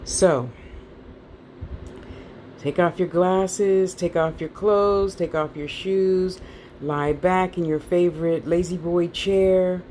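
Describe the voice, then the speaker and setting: A woman's voice: lowish voice, fairly even intonation, slow pace, dark tone, slight background noise. A woman leading a guided meditation class with soothing, informal instructions, playful asides and lulling repeated phrases.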